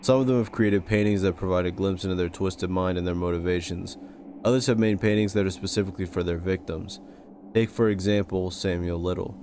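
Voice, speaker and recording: A man reading scripted narration for a video essay in a measured, serious way. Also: sits low, fairly even intonation, darkish tone, slight background noise. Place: close-recorded voice-over with music behind.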